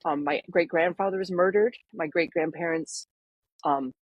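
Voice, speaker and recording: A woman in her fifties who grew up in Canada tells her story in an interview. Her voice is slightly low and breathy, soft and gentle. She talks earnestly and reflectively, often pausing on fillers. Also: dry recording, muffled recording. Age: 50s. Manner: earnest, reflective, many ums. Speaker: guest, parenting coach. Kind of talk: podcast interview, personal story.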